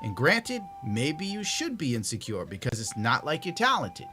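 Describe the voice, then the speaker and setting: A man's voice: medium pitch, wildly swooping pitch, normal speed, sharp tone, soft background music. A man ranting in a crude, sarcastic commentary. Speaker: online commentator.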